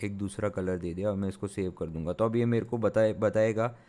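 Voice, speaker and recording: A young man, a sound engineer from India, explains software step by step in a tutorial, thinking aloud with many fillers and restarts. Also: on the low side, fairly even intonation, fairly quick, a little hiss or hum. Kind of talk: screen-recorded software tutorial.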